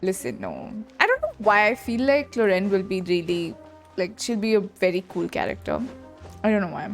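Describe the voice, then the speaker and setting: A woman's voice: medium pitch, sing-song, moderate pace, soft background music. A woman giving casual, reactive commentary as she streams a playthrough of a game.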